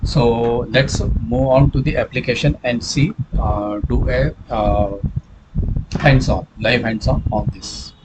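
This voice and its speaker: A man narrating a hands-on software tutorial as a trainer, speaking haltingly with repetition and frequent self-corrections. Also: medium pitch, fairly even intonation, heavy background noise.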